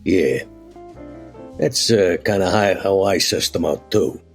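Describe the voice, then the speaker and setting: A man voicing a gruff, laid-back male vampire in a tabletop game, gravelly and husky, with slangy, folksy, hesitant phrasing. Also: low voice, slow pace, faint music behind. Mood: wary, casual.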